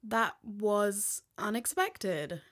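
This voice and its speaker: A woman's voice: mid-range voice, very slow, fairly bright tone. A woman playing a determined, truth-seeking podcaster investigating a case in a conversational audio drama.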